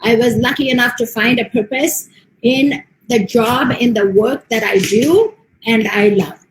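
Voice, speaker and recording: A woman's voice: on the high side, measured pace, roomy sound, a little hiss or hum, poor audio. An American woman, a motivational speaker, giving an earnest online presentation with frequent ums. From United States. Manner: steady, earnest delivery with frequent um fillers.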